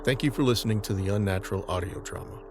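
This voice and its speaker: A man narrating a scripted audio drama in a deliberate, dramatic manner. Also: lowish voice, gravelly, noisy background. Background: dramatic music.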